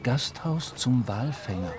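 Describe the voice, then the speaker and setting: A man playing a wry, melancholy sailor who narrates his tale in a literary radio play, measured and expressive. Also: medium pitch, music in the background. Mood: reflective storytelling.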